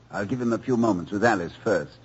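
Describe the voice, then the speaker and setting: An actor playing a genteel, witty college president with a dry, urbane manner, in 1940s radio acting on a dull old broadcast recording. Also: male voice, lowish voice, fairly quick, husky. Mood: wry. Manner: urbane, dry wit, chuckles often.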